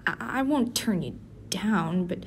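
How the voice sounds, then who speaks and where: A man acts out a male tsundere office worker in a roleplay audio, prickly and easily flustered. He draws his words out slowly, grumbling and stammering defensively to hide a crush. A faint rumble of office and city noise sits behind him. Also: lively intonation, vocal fry, exaggerated voice. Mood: flustered, denying.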